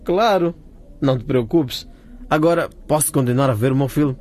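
An actor playing an excitable, teasing student friend in a radio drama, full of interjections. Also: male voice, medium pitch, normal speed, a little hiss or hum, lightly acted. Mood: wry. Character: film-obsessed male student, reluctant but loyal friend.

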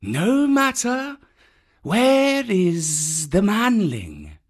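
A man reading a storybook aloud, voicing a huge old python who talks slowly and slyly. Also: high-pitched, huge pitch swings, breathy edge, pitched above the performer's own voice, heavily acted. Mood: cool. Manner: slow, drawn-out, sly. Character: huge old python, slow and menacing, sly.

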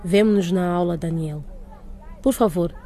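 A woman playing a female school director in a radio drama, with a mellow, unforced voice. She is stern and formal, laying down rules in a firm, measured way. Her authority is plain, yet she stays fair. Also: on the low side, a faint crowd, lightly acted.

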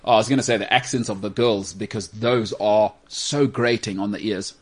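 A man with a South African accent hosting a talk show, giving opinions in casual, slangy, animated banter. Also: fairly low pitch, normal speed. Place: studio.

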